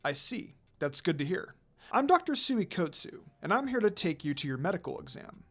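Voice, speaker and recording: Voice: mid-range voice, sing-song, normal speed, a bit bright. A storyteller voicing a polite, mild-mannered male village doctor who is secretly shady, in a fan fiction. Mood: polite, eager.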